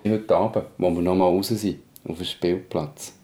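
A man's voice: low voice, steady tone, moderate pace, mellow. A young man co-hosting a podcast in casual conversation. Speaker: podcast co-host.

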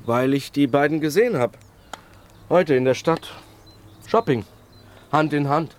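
An actor playing a jealous, reluctant accomplice and secret lover in a radio crime drama, speaking tersely and reproachfully. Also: male voice, a little high, unhurried, slight background noise, natural voice. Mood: probing, casual.

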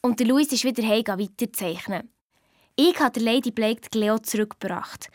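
Sensible, reproving girl sleuth played by a woman, slightly high pitch, Swiss German accent, children's audio drama. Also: normal speed, darkish tone, dry recording, studio quality, close to an everyday voice. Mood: matter-of-fact. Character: sensible teasing girl detective recounting her adventures. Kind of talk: children's detective radio play, dialect narration.

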